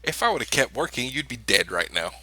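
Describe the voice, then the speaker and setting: A man's voice: medium pitch, very animated, brisk, sharp tone, husky, poor audio. A man improvising in character in a tabletop role-playing game, plain-spoken and matter-of-fact.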